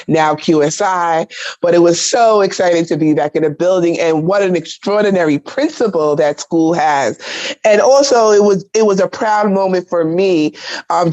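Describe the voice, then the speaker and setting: A middle-aged woman from New with a slightly raspy voice, giving an animated, enthusiastic public comment at a school council meeting over a video call. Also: lowish voice. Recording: dull lo-fi video call audio.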